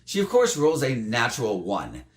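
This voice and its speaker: A slightly hoarse man telling a tabletop game story in a casual, animated way. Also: moderate pace. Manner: animated, jumps up in pitch for emphasis. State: slightly hoarse, losing his voice. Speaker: tabletop game player recounting a campaign. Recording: roomy, echoey room.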